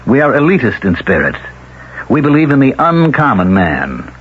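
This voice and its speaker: Smooth, menacing elitist elder, lively pitch. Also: male voice. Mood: smooth conviction. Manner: formal, measured, persuasive. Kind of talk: 1970s radio drama acting. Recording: dull 1970s radio recording, faint noise.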